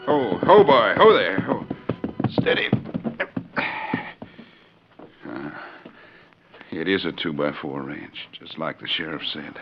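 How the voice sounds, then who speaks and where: An actor playing a troubled, desperate young rancher in a muffled, slightly noisy 1940s radio western. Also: male voice, low-pitched, very animated, unhurried, creaky, over music, heavily acted. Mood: dejected. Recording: muffled 1940s radio recording, faint noise.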